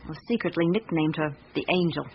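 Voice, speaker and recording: An actress with a British accent playing a candid, observant secretary in a radio drama, speaking in a measured, confiding way. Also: female voice, sits low. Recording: muffled radio recording with background hiss.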